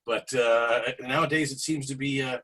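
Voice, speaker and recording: A man's voice: medium pitch, steady tone, moderate pace, bright, forceful tone. A man in his forties, a guest speaker recalling his past in a rambling way on a video call, with many restarts. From Canada. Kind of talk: casual storytelling on a video call.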